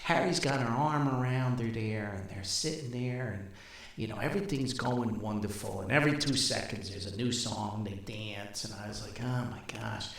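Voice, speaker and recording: A man's voice: lowish voice, normal speed, vocal fry, fairly bright tone, a little hiss or hum. An older man telling casual anecdotes as a podcast host, in a rambling, folksy manner. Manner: rambling, folksy, chuckles at own stories. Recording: very echoey, reverberant room sound.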